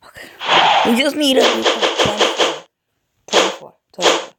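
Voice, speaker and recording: Young female gamer, low gravelly voice, rambling self-mocking commentary, loud background hiss. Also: lively intonation, normal speed. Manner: rambling, self-deprecating, easily distracted. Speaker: gamer recording a mobile game. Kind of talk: casual gameplay commentary. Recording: loud background noise with clicks.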